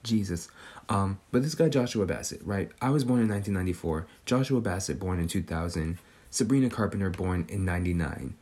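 Podcast host, man in his twenties, low mellow voice, loose speculative chatter, ends his lines with "right?". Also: animated, fairly quick. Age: 20s. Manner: tags nearly every sentence with "right?". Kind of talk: casual speculative monologue.

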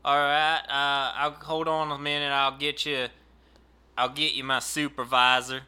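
A man playing a dim rural customer service rep on the phone, speaking in a put-on Southern drawl with a slow, stammering, folksy manner. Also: medium pitch, flat delivery, pushed and bright, clean tone.

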